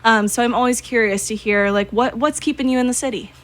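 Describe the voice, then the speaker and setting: A woman's voice: a little high, fairly even intonation, fairly quick. A woman hosting a conversational radio interview.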